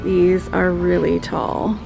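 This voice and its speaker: A woman vlogger walking a store aisle with her phone, calmly listing decor items and their prices. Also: fairly low pitch, takes it slowly, creaky, highs cut off, over music. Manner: calm, listing prices item by item. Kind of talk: shop-with-me narration. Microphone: handheld phone camera.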